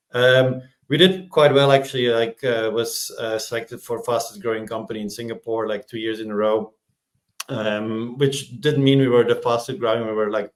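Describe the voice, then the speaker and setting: A man, a podcast guest talking in a relaxed, rambling way as he searches for words. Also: mid-range voice. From Belgium. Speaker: podcast guest, startup executive. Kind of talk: casual interview chat.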